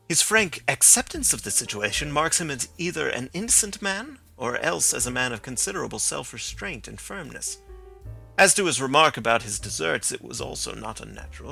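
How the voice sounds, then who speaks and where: A man reading aloud as a sharp, confident middle-aged detective who lectures with precise, assured reasoning. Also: medium pitch, vocal fry, full character voice.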